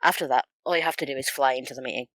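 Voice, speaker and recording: A man playing a level-headed, professional intelligence agent in a sci-fi audio drama, speaking in a brisk, businesslike manner. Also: mid-range voice, a bit bright, no echo, lightly acted.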